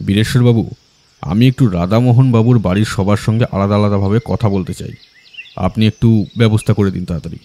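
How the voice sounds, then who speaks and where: A man's voice: on the low side, fairly even intonation, fairly quick, dark tone, slight background noise, natural voice. A man playing a stern, composed detective in an audio drama, questioning with firm authority. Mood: commanding. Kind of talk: Bengali audio drama acting.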